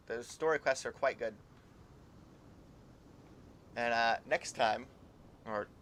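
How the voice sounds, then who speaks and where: A man giving casual, halting live commentary on a game, musing aloud and trailing off into asides. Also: medium pitch, fairly bright tone, a little hiss or hum. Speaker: game streamer. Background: faint game music.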